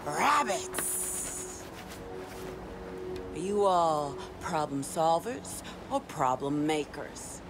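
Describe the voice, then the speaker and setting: An actress playing a mocking, menacing young raider leader in a video game, drawling and sardonic. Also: female voice, low voice, sing-song, very slow, pauses often, heavily acted. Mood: mocking menace. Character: mocking, menacing twin sister leading a raider gang. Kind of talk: video game villain acting. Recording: music behind the voice.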